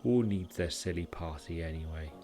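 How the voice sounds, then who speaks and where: A Welsh man voicing an enormous, lonely male python in a bedtime storybook, prickly but soft-hearted and subdued. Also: deep voice, normal speed, faint music behind. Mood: sulky. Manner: muttering, subdued.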